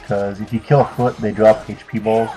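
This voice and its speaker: A man's voice: fairly low pitch, flat delivery, moderate pace, warm tone. A young man giving casual, unscripted video game commentary in a hesitant, rambling, offhand way. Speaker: let's play commentator. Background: video game music.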